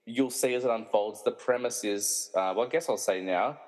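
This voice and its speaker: A man's voice: mid-range voice, normal speed, a little mellow. A man hosting in a casual, chatty way with jokey, self-deprecating asides. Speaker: podcast host. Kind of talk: casual chat. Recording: long echoing room reverb.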